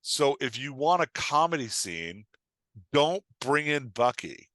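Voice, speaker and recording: A man's voice: mid-range voice, very animated, slow pace, fairly bright tone, dry recording. A man talking casually as a podcast guest, explaining with examples in an emphatic, storytelling way and often checking in with his listener. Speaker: podcast guest, writing coach and author.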